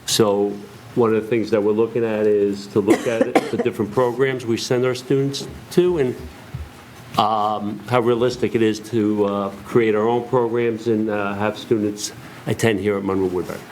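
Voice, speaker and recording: A hoarse man giving a halting, formal presentation to a school board, full of fillers. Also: on the low side, steady tone, darkish tone, a little hiss or hum, low-quality recording. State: hoarse, lost voice.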